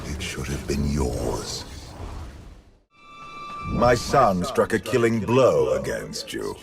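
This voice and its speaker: An ancient, imperious game villain, a man with an extremely deep, gravelly voice. He speaks with slow, deliberate menace and lofty contempt, like a ruler lecturing a disappointing subject. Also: sing-song, music in the background, exaggerated voice. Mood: disappointed, scornful. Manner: slow, deliberate, pompous, condescending. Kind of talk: theatrical video game villain acting.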